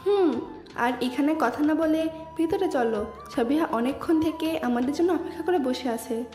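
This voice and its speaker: A woman playing a brisk, practical young college student in an audio story. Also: sits high, animated, a little mellow. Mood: matter-of-fact. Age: young adult. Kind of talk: dramatised audio story acting. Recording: echoey reverb with music behind.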